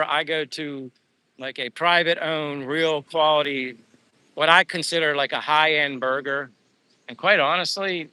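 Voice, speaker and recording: A man's voice: fairly high pitch, sharp tone, clean tone, no echo, low-quality recording. Upbeat Pennsylvania man chatting on a livestream. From United States. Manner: rambling, upbeat, thanking viewers. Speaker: livestream host. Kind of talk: casual livestream chat reading viewer comments. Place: living abroad.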